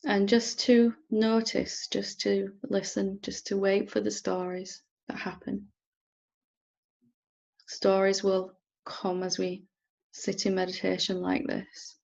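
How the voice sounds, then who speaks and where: Female meditation teacher: soft instruction, level intonation, creaky texture, lingering pauses, somewhat muffled sound. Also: medium pitch, little pitch movement. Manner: unhurried, gentle, with long pauses. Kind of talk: guided meditation, softly instructing.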